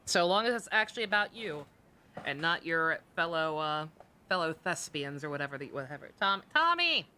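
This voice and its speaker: A woman's voice: low-pitched, sing-song, normal speed, bright, forceful tone, a little hiss or hum. A woman giving casual live-stream game commentary in a sarcastic, irreverent way, swearing freely.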